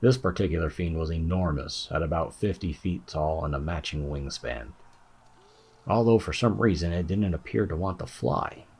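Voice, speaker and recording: A man's voice: low-pitched, breathy edge. A man giving a scripted narration of a fantasy audiobook as its narrator.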